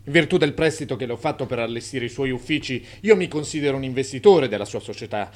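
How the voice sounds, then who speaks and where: A pompous furniture dealer from a radio play, acted by a man. He is demanding and legalistic, with an average-pitched male voice. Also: expressive, quick pace, close to an everyday voice. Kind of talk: radio drama acting.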